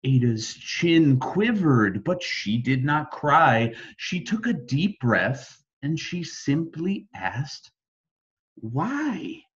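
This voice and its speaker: American male storyteller for rhyming kids' books, highly expressive intonation, deliberate and slow, somewhat muffled sound. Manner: dramatic emphasis, deliberate phrasing. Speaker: storybook reader. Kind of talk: expressive children's bedtime story reading in rhyme.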